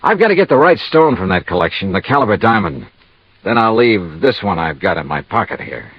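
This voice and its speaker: An actor playing a tough, smooth-talking American insurance investigator and narrator in a 1950s radio noir, wry and coolly insistent. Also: male voice, fairly low pitch, very animated, fairly quick, no echo. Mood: smooth bluffing. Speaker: lead detective and narrator. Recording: dull, band-limited old radio recording.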